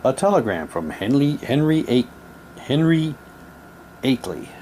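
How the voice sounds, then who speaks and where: A man casually reading printed material aloud in a halting way, with muttered asides to himself. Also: medium pitch, sing-song, warm tone, slight background noise. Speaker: unboxing presenter. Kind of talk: casual unboxing, reading old newspaper props aloud.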